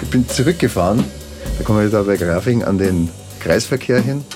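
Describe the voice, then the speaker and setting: A man's voice: on the low side, animated, brisk, music in the background. A man chatting and telling stories in a casual way. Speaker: band musician. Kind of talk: casual conversation and storytelling.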